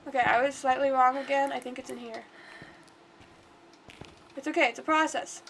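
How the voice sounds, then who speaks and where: A woman's voice: sits high, fairly even intonation, normal speed, vocal fry, noisy background. A congested woman livestreaming, chatting casually and rambling through gameplay commentary. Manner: chatty and rambling, talks to the game aloud.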